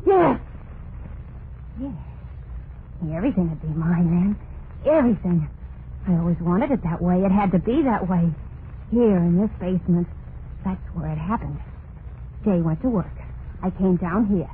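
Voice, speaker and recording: An actress playing a tormented, murderous young wife in a vintage radio horror play, confessing in a brooding, repetitive monologue with plain folksy speech. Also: female voice, on the low side, lively intonation, normal speed, long pauses, phone-quality sound, lightly acted. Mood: obsessive, confessing. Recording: noisy, band-limited vintage radio recording.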